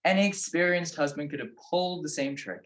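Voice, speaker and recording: A man's voice: fairly high pitch, brisk, lightly acted. A man playing a shrewd, wry married private detective in a 1940s-style radio drama. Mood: smug, explaining. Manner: wry, teasing.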